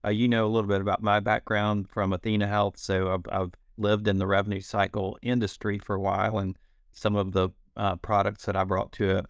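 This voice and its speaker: A man's voice: sits low, no room sound, clean studio sound. A middle-aged man hosting a podcast interview, upbeat and complimentary as he brings in his guest. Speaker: podcast host, company CEO.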